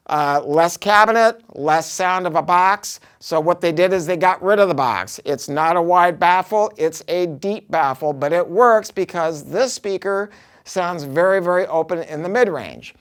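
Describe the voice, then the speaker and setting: A man's voice: high-pitched, smooth. A man giving a casual product review, talking informally and emphatically with some salty language.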